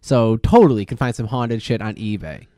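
A man's voice: fairly low pitch, moderate pace, mellow, no room sound, clean studio sound. A man co-hosting a podcast in casual chat, telling stories in a rambling, repetitive way and swearing freely. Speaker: podcast co-host.

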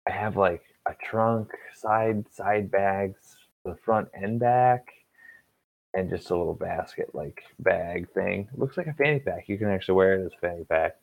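A man's voice: low-pitched, normal speed, vocal fry, no room sound. A man in his twenties, a cook, chatting as a podcast guest in an easygoing, wry, rambling way. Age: 20s. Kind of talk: casual chat. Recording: dull lo-fi sound.

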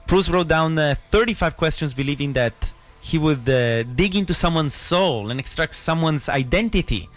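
A man's voice: on the high side, lively intonation, moderate pace, faint music behind. A man hosting a podcast interview, enthusiastic and encouraging, with philosophical asides. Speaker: podcast host. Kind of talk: casual interview.

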